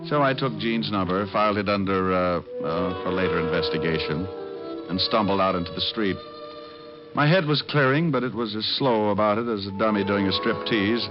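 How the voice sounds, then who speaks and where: Cocky male private eye played by an actor, sardonic 1940s-style radio delivery, lowish voice, music underneath, old muffled recording. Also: moderate pace, lightly acted. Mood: wry narrating. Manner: glib, sardonic, slangy. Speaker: actor in a radio detective drama. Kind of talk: old-time radio acting with first-person narration.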